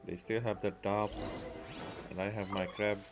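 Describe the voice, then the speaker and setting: A man's voice: fairly low pitch, little pitch movement, unhurried, music in the background. A man giving casual, off-the-cuff commentary as he plays a video game, muttering his reactions. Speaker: gaming video creator playing and commenting.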